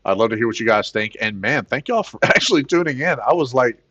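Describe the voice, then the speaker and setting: A man with a plain baritone voice, hosting a casual gaming livestream, rambling with many fillers and excited bursts. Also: fast talker, lo-fi sound. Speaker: gaming channel host.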